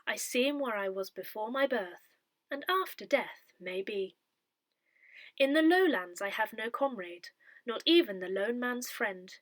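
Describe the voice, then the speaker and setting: Woman reading aloud, bright and expressive. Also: mid-range voice, dry recording. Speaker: volunteer reader of an audio parish newsletter. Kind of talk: scripted read-aloud of articles, poems and notices.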